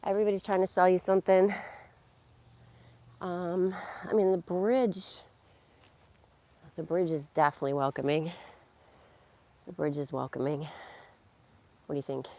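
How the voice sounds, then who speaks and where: A middle-aged woman with a hoarse, worn voice, chatting casually to a phone camera while walking outdoors by a pond, rambling with many fillers. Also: lowish voice, steady tone, moderate pace, long pauses, mellow. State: hoarse, losing her voice. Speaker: vlogger and yoga teacher. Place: outdoors on a nature walk by a pond. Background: crickets and insects outdoors.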